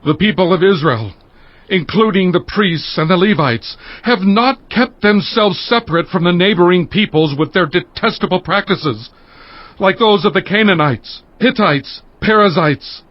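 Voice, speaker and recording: Guy doing an indignant israelite leader in bible audio drama, high forceful voice, dry dull recording. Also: animated, unhurried, no room sound. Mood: grave accusing. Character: indignant Israelite leader reporting his people's unfaithfulness.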